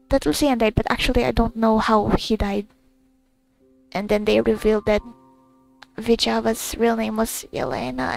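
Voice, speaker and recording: A woman gamer giving a casual, halting, rambling recap over her gameplay. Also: fairly high pitch, vocal fry, a little mellow, poor audio. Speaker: gamer recording her playthrough.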